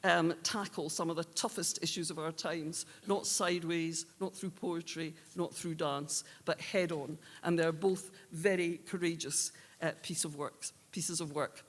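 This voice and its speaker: A woman presenting awards live, speaking in a measured, earnest way. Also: low-pitched, steady tone. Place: live on stage at an awards ceremony. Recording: reverberant hall sound.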